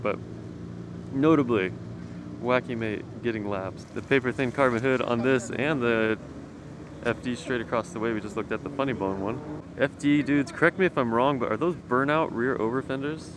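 Clear outdoor paddock noise surrounds a man with a mid-pitched voice. He talks like an enthusiast vlogger on a walk-around, informal and slangy. His delivery is casual and keen. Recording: noisy outdoor car paddock ambience.

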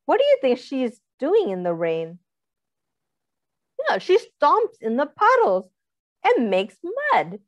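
A woman's voice: sits high, dry recording. A young woman reading a picture book aloud to small children, expressively, with simple questions and explanations for her listeners. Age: young adult. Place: small indoor room, seated.

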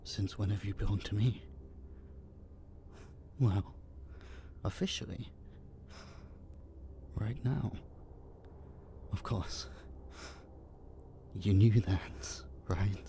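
A man playing a possessive, obsessive masked kidnapper in an intimate roleplay audio drama, coaxing and menacing in a breathy, gravelly, teasing whisper. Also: lowish voice, mellow, a little hiss or hum. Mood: commanding, possessive. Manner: slow, dominant, cooing and teasing.

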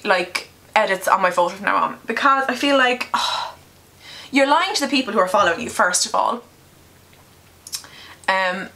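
A young woman chatting casually through a makeup tutorial, candid and quick to swear, a little nasal from a cold, recorded by a camera in a small bedroom. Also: mid-range voice, pushed and bright. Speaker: beauty vlogger.

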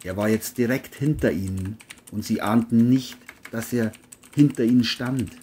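A narrator reading a scripted crime audio drama in a mellow, husky voice. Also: mid-range voice, normal speed, a little hiss or hum.

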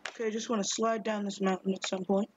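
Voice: mid-range voice, flat delivery, fast talker, poor audio. A gaming streamer chatting casually and jokingly while playing, now and then singing a few words. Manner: playful, jokey, sings snatches. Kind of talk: casual game commentary.

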